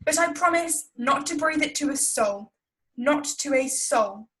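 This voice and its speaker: A woman's voice: high-pitched, lively intonation, unhurried, a bit bright, rough recording. A young woman playing a meddling, self-righteous married society gossip in a stage play, haughty and insinuating. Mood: smug. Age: young adult.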